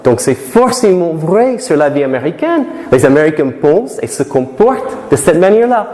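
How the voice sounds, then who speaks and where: A man's voice: on the high side, very animated, warm tone. A middle-aged American man, a native English speaker, giving a live conference talk to students, often asking the audience questions and restarting his phrases. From United States. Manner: asks the audience many questions, halting with restarts. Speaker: lecturer. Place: lecture hall. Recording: echoey hall, long reverb.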